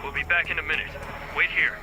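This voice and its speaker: A man's voice: a little high, steady tone, quick pace, noisy background, lightly acted. A man voicing an eager supply trader. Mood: helpful.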